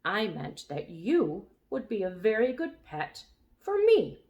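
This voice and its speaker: A woman voicing a huge, polite male brontosaurus with a rumbling voice in a storybook reading. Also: slow pace. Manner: polite and calm.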